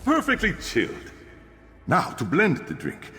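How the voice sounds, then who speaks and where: An actor playing a friendly, chatty innkeeper and eager drink inventor in a fantasy video game, theatrical and animated. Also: male voice, on the high side, measured pace, full character voice. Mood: attentive coaching. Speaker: innkeeper giving instructions. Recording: long reverb, music behind.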